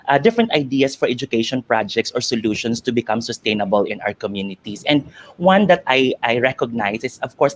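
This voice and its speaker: A man from the Philippines, an education professor, giving a formal, measured answer on a video call, stumbling now and then over long words. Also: medium pitch.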